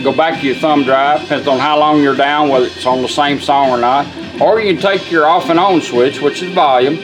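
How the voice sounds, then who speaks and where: A man's voice: a little high, poor audio. A man giving a casual, hands-on product demonstration in a plainspoken, folksy way. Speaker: car radio restorer demonstrating a product. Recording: music playing behind the voice.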